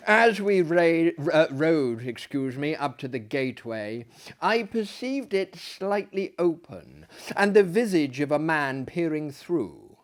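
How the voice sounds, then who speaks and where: A man in his thirties reading a literary tale aloud in a theatrical, gentlemanly manner, with dramatic pauses and chatty asides. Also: fairly high pitch, lively intonation. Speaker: storyteller, host. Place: small book-lined living room, indoors.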